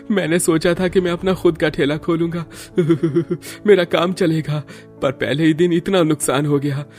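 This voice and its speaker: A man's voice: on the high side, fairly even intonation, slow, few pauses, darkish tone, soft background music. A man playing a worried young husband and struggling street-food seller in a cartoon, earnest and plaintive. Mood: dejected. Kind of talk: Hindi cartoon story dialogue.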